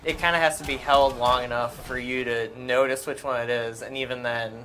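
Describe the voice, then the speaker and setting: A man giving casual, technical gameplay commentary in a halting way. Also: mid-range voice, fairly even intonation, bright, forceful tone, slight background noise. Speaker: game commentator.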